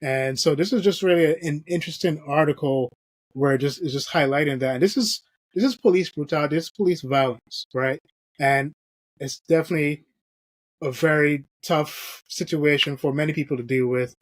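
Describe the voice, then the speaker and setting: A man hosting a podcast, earnestly reading from an article and filling pauses with "you know". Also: fairly high pitch, dry recording. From Barbados. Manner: earnest, reads aloud from an article with frequent fillers. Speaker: podcast host. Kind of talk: podcast discussion.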